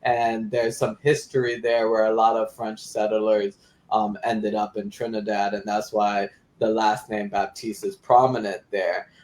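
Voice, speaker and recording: A young man from Florida, a community activist, giving clear interview answers with some "um"s. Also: fairly low pitch, level tone, measured pace, a little mellow. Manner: articulate and explanatory, with fillers.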